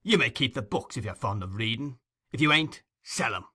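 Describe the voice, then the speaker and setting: A man's voice: medium pitch, lively intonation, moderate pace, pushed and bright, slightly rough. A man voicing a brutal, surly London criminal in an audiobook, blunt, curt and threatening. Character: gruff, menacing London thug, brutal and surly.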